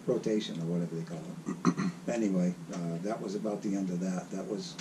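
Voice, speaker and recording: Raspy older man with a low voice. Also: background noise. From South Carolina. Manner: halting, rambling storyteller. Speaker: interviewee. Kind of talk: casual reminiscing.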